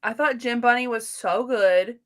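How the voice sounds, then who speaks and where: A woman in a casual book discussion as a co-host, talking informally with slangy phrasing and softened opinions. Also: on the high side, measured pace.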